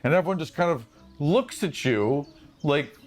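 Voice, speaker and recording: A man running a tabletop game as game master, improvising casual, animated narration with spoken sound effects. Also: on the high side, normal speed, faint music behind.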